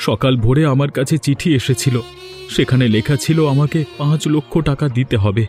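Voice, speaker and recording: A man's voice: mid-range voice, fairly quick, a little mellow, close to an everyday voice. Man voicing a worried, earnest village father. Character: worried, devoted village father and hotel owner. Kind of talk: melodramatic cartoon voice acting. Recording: music behind the voice.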